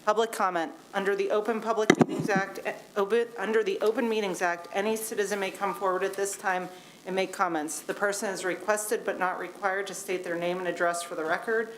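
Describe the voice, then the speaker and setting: A woman's voice: mid-range voice, steady tone, a little hiss or hum, poor audio. Woman chairing a formal meeting. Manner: brisk, reads formal wording. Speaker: commission chair. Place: city meeting chamber.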